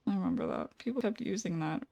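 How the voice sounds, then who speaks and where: A woman giving cozy, quiet, casual commentary as she plays a game, soft-spoken and informal. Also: lowish voice, huge pitch swings, quick pace, creaky, no room sound. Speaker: streamer, gameplay commentator.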